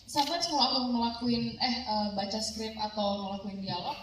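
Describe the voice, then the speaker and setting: A woman's voice: a little high, brisk. A woman actress answering press questions, switching often between Indonesian and English. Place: event hall. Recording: echoey hall recording from a distance, noisy.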